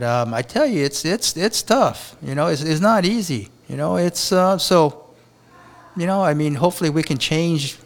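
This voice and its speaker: Guy from japan, chef, rambles and restarts a lot, just chatting in an interview. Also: medium pitch. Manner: rambling, halting, many restarts. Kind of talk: casual interview talk. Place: hotel on a beach in Honolulu.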